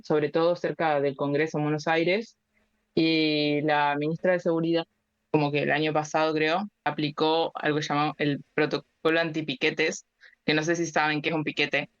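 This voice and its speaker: A woman in her twenties with an Argentine Spanish accent, a guest speaking casually and thoughtfully, with many pauses and fillers. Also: low voice, level tone, smooth, no room sound, highs cut off. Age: 20s. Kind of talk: casual interview chat.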